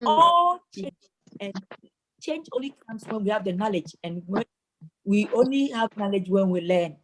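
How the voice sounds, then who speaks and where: A woman's voice: mid-range voice, lively intonation, normal speed, fairly bright tone, rough recording. An earnest woman from Ghana speaks in an online community meeting. She leads the talk and sometimes stammers on repeated words before a thought lands. She sounds like she is on a video call. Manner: earnest, repeats words when starting sentences. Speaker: participant moderating a community discussion.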